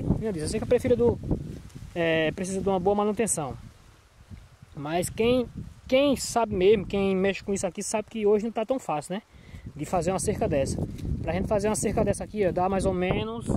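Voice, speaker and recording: A Brazilian man with a Northeastern accent, a little hoarse from a cold, chatting casually for a farm vlog in a folksy, repetitive way. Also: high-pitched. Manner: folksy, repeats himself, frequent check-in tags. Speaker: small farmer vlogging from his field. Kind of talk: casual handheld vlog chat. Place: outdoors in an open cornfield. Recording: noisy outdoor handheld recording.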